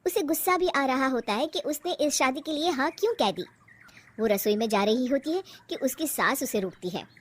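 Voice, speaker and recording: A woman narrates a cartoon story in a high voice. Her delivery is animated and rises and falls a lot. She tells it in an expressive storybook way. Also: normal speed.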